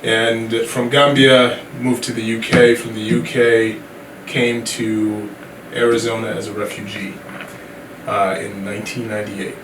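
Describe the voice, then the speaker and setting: A low-pitched man from Sierra Leone tells his story as a podcast guest, relaxed and easygoing. The sound is echoey and he is set back from the mic, with steady background noise. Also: a little slow, far from the mic. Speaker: guest, company CEO. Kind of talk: casual podcast interview.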